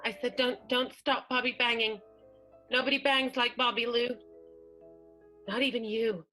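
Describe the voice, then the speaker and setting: A woman playing a hesitant, evasive wife in an improvised radio soap, halting and repeating herself. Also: a little high, fairly even intonation, bright, forceful tone, soft background music. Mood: pleading. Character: hesitant, evasive 1920s wife with a secret lover.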